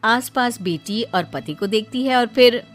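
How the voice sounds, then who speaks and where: A woman's voice: on the high side, expressive, measured pace, faint music behind. A woman narrating a family cartoon story in a plain storytelling manner.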